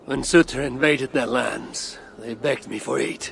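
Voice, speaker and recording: A man playing a grave, brooding older Norse god in a video game cutscene, speaking in a measured, sometimes hushed way. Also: medium pitch, vocal fry, slight background noise. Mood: grave, reflective.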